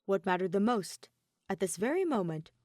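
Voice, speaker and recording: A woman narrates scripted fiction with lively, swinging intonation. Her phrasing is deliberate and dramatic, broken by pauses. The sound is close and dry, with an ordinary female pitch. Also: dry recording. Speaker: podfic reader.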